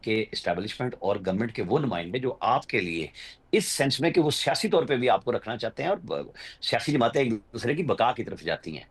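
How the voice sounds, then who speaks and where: A man's voice: medium pitch, big pitch swings, brisk, slightly rough. Pakistani male analyst with swooping intonation. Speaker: journalist, political analyst guest. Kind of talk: unscripted political commentary.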